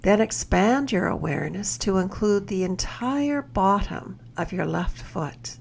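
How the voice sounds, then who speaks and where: A woman leading a guided meditation as a mindfulness mentor, with gentle, measured instruction and soft, breathy phrase endings. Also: mid-range voice, expressive, slight background noise.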